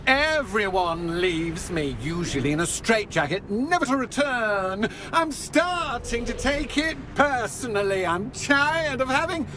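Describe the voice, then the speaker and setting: High-pitched, theatrical, mischievous poltergeist. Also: male voice, lively intonation, background noise. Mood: wounded. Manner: pompous, flowery wording with drawn-out dramatic delivery. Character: mischievous theatrical male poltergeist, gleefully chaotic and self-pitying. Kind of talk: theatrical video game character acting.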